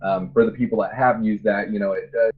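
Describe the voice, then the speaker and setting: A man's voice: on the low side, steady tone, a little fast. A man talking casually and conversationally as a game developer being interviewed. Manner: informal, conversational. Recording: dull lo-fi voice with faint noise.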